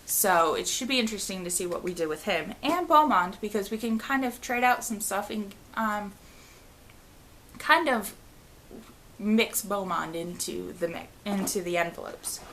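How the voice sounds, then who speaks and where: A woman's voice: medium pitch, normal speed, fairly bright tone, a little hiss or hum. A woman in her thirties casually talking through a board game expansion at home, reading card text aloud and trailing off mid-phrase. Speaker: vlogger. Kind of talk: casual unboxing walkthrough. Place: living room at home, indoors.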